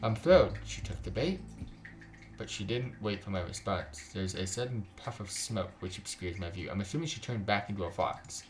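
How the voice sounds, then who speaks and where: A man's voice: fairly low pitch, expressive, moderate pace, a little hiss or hum. A man hosting a gaming video, reading a visual novel aloud with casual reactions.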